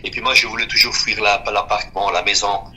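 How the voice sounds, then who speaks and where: A man of fifty-six from Switzerland speaks in a halting, repetitive way as he gives an unscripted personal testimony. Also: lowish voice, steady tone, pushed and bright. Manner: halting, repeating himself. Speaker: guest giving a personal testimony.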